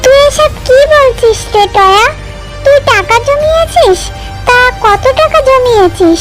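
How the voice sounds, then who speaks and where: Woman as an earnest, very high-voiced mother fox. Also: lively intonation, measured pace, faint music behind. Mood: surprised, tender. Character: caring, poor mother fox, easily worried.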